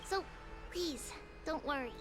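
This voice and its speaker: A little girl oracle from a fantasy game, in an extremely high, sweet voice. She sounds serene and cheerful, soothing yet formal, while loud music plays behind her. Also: animated, slow pace. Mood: reassuring.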